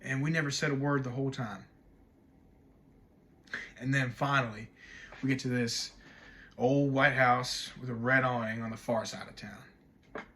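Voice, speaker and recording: A man performing a dramatic stage monologue as a wistful man recalling young love, telling it reflectively in short, measured phrases. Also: mid-range voice, fairly even intonation, sharp tone, a little hiss or hum. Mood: wistful, hushed. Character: wistful man haunted by a childhood night of love.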